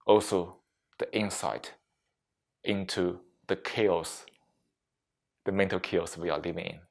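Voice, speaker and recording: A man with a fairly deep voice gives a reflective mindfulness lesson. He talks deliberately, setting out short phrases and leaving frequent pauses between them. His wording is that of a non-native English speaker, with small slips in grammar. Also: steady tone, gravelly, darkish tone. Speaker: meditation teacher giving a workplace session. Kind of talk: slow, reflective mindfulness talk to a group.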